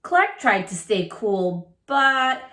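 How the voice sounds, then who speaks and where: A woman's voice: medium pitch, very animated, takes it slowly, fairly bright tone, echoey room. A woman reading a children's storybook aloud like a teacher, expressively, talking directly to her young listeners.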